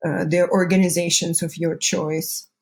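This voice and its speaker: Lady hosting an interview, bit low pitched, conversational. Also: little pitch movement, unhurried, gravelly. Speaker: interviewer, show host.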